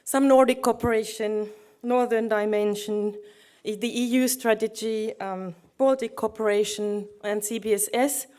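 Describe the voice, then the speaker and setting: A middle-aged woman presents at a conference as a project manager. Her voice sits at an average pitch, and her delivery is halting, with frequent searching for the right word. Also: steady tone, darkish tone. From Finland.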